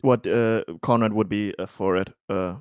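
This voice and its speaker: A man's voice: on the low side, fairly even intonation, normal speed, smooth, no echo. A man talking casually as a player in a tabletop game, describing what his character does.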